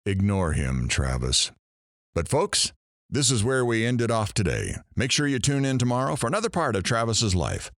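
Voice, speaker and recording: An actor playing a brash, sarcastic morning radio host in an audio drama, with showy patter. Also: male voice, mid-range voice, huge pitch swings, normal speed, a bit bright, no room sound, clean studio sound. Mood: breezy, sardonic.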